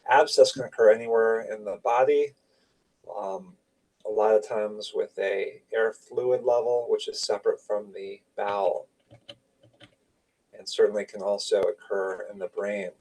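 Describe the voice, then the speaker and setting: A man's voice: on the low side, level tone, measured pace, darkish tone, distant mic, poor audio. A man giving an informal medical lecture, explaining slides in clipped, conversational phrases. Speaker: radiology lecturer.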